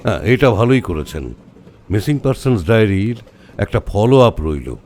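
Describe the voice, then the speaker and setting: An actor playing a calm, learned tantra scholar in an audio story, explaining things in a measured, didactic way. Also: male voice, on the low side, a little hiss or hum. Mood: patient, explanatory. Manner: measured, didactic, uses rhetorical questions. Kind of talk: Bengali audio horror story acting.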